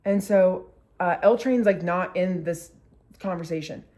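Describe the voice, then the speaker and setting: A woman's voice: lowish voice, unhurried, dark tone. Candid young woman telling a personal story. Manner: candid, emotional, heavy use of filler words. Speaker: vlogger. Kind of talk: casual storytelling vlog.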